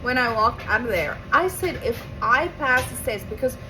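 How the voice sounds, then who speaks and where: A woman's voice: fairly high pitch, expressive, moderate pace, over music. Chatty woman with an Afrikaans accent. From South Africa. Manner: chatty, rambling with asides and self-corrections. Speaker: vlogger. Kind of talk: casual storytelling to camera. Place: home with appliances running. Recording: noisy home recording.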